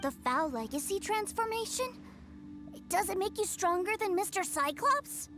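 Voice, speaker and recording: A woman's voice: animated, moderate pace. A woman voicing an eager, innocent little boy in a video game, with a childlike voice. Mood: excited. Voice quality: high, childlike. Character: eager, innocent, stubborn little boy, adoring younger brother. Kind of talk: video game character acting. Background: game music.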